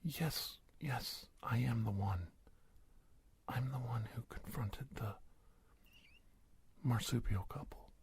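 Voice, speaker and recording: A man playing a courteous, startled investigator doctor in an ASMR roleplay, speaking in a hushed, breathy, stammering way. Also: medium pitch, heavily acted. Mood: astonished, bewildered. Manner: halting, stammering, formal and courteous. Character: startled, polite investigator doctor facing an alien. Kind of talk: ASMR roleplay monologue, soft-spoken and whispery.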